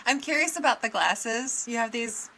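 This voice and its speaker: A woman's voice: a little high, moderate pace, gravelly, noisy background. A woman co-hosting a casual interview over a video call, asking curious, chatty questions.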